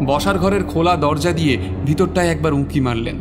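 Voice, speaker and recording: A man's voice: fairly high pitch, brisk, noisy background. A man narrating a scripted story as a dramatic narrator.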